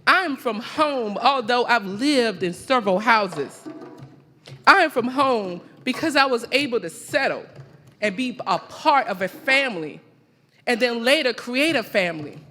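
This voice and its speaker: A woman from the American South, giving a rhythmic, emphatic, poetic keynote speech with deliberate repeated phrases. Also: on the high side, expressive, a bit bright. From American South (the Carolinas). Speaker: keynote speaker at a conference. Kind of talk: rhythmic spoken-word poetic public speech. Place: large conference hall. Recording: reverberant large hall through PA.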